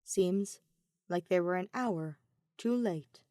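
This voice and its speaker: A woman's voice: fairly low pitch, slow pace, warm tone, dry recording, clean studio sound. A woman reading scripted fiction as an audiobook narrator, in a dramatic storytelling style.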